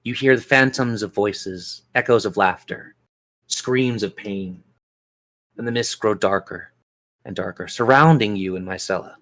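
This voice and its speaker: A man's voice: lowish voice, a little slow, a bit bright. A man acting as game master, narrating and refereeing a tabletop fantasy game in colloquial language and speaking directly to his players.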